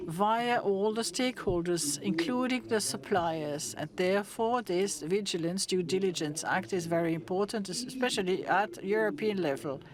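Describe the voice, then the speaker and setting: German-accented woman speaker, mid pitch, halting delivery, audience noise in the room. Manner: halting, with many restarts and fillers. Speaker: conference speaker. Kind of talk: conference talk. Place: conference hall with an audience.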